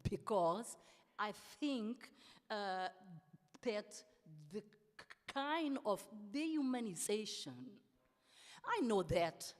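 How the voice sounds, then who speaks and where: A woman speaks at a very slow pace, stuttering often and restarting her words. Her voice rings out with long reverb in a large hall. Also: medium pitch, lively intonation, a little mellow. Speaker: politician and anti-racist activist. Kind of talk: panel talk. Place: large hall at a public event.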